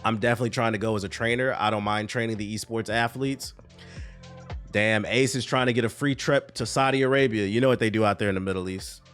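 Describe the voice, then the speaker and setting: A man's voice: on the low side, steady tone, quick pace, a bit bright, soft background music. Congested man streaming casually. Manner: casual, reads news aloud then riffs on chat. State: congested from a cold. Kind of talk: casual live stream commentary.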